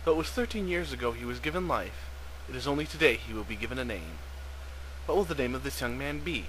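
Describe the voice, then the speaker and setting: Bright-voiced man reading a scripted story. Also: mid-range voice, animated, fast talker, a little hiss or hum, low-quality recording. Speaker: narrator. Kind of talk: scripted narration read aloud.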